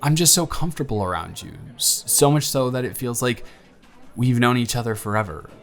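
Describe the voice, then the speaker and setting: Guy playing a smitten young dude on a blind date, super animated, playful banter, medium pitch, romantic audio roleplay. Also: brisk, faint music behind, lightly acted. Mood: tender, nervous. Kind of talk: scripted romantic audio roleplay, one-sided dialogue to the listener.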